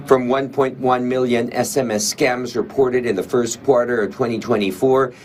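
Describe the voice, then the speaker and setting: Male reporter, Filipino accent, average pitch, reading a news package with slight noise behind. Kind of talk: scripted news narration.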